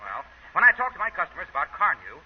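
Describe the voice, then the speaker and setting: An actor playing an eager, earnest American announcer giving an emphatic, polished 1930s radio sales pitch. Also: male voice, huge pitch swings, quick pace. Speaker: announcer delivering the sponsor's pitch. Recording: muffled, noisy 1930s radio broadcast.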